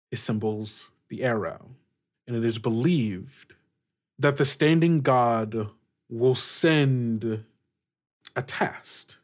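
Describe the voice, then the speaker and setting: A man with a mid-range voice acts as game master for a tabletop roleplaying game. He narrates very slowly and deliberately, often halting in the middle of a phrase before carrying on. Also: medium pitch.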